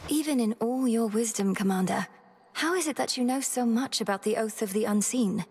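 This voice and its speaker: A woman's voice: on the high side, fairly quick, a little mellow, slightly rough. A woman voicing an earnest young witch princess who speaks formally and politely to her elders.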